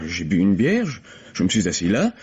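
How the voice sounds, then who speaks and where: A struggling playwright in an old radio mystery, effusive and self-absorbed. His voice is slightly husky, and he stammers and hesitates as he talks. The recording is dull and lo-fi. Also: low-pitched, wildly swooping pitch, moderate pace, darkish tone, exaggerated voice. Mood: uneasy, defensive. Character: nervous, eager, self-absorbed struggling young male playwright. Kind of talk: vintage French radio mystery acting.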